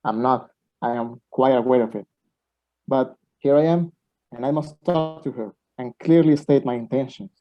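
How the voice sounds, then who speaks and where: A man's voice: mid-range voice, normal speed, soft background music, close to an everyday voice. A man acting an anxious, impatient, lovestruck young student in an amateur radio play, earnest and a little stilted. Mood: earnest, defensive. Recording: dull lo-fi home recording.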